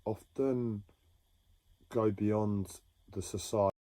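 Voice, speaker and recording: Man, deep dark voice, halting reflective solo talk, dry. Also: steady tone, no room sound. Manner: halting, with frequent pauses and restarts. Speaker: livestreamer sharing a personal story. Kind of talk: slow reflective monologue. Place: home.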